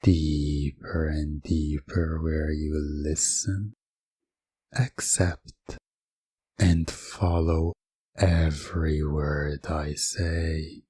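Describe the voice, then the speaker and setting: Male hypnosis ASMR narrator: low, mellow, extremely slow and deliberate, quietly commanding. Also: fairly even intonation, slightly rough, no room sound, studio quality. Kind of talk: hypnosis ASMR, scripted second-person storytelling.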